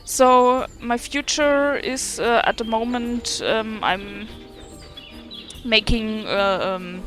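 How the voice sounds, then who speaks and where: A woman from Germany with a German accent, chatting casually over gameplay, haltingly searching for her words in a self-deprecating way. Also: on the high side, steady tone, a bit bright. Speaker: gaming video creator and streamer. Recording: faint game music behind.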